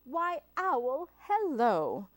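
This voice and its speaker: A woman's voice: high voice, wildly swooping pitch, drawn-out words, a bit bright, dry recording, exaggerated voice. A woman voicing a small, cunning, boastful male mouse in a storybook, with an exclamatory, bragging manner. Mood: cheery.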